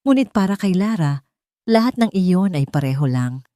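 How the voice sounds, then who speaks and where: A woman's voice: on the low side, big pitch swings, normal speed, mellow, dry recording, studio quality. A woman reading a scripted dramatic audiobook as the story's narrator.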